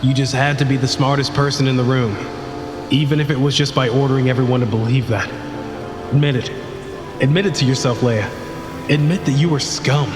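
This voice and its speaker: A man's voice: medium pitch, fairly even intonation, a little fast, long pauses, natural voice. Man acting a bitter accusing colleague, heavy reverb. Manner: urgent, confrontational. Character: bitter, accusing male colleague in a sci-fi disaster. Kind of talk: audio drama acting. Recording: long echoing reverb under music.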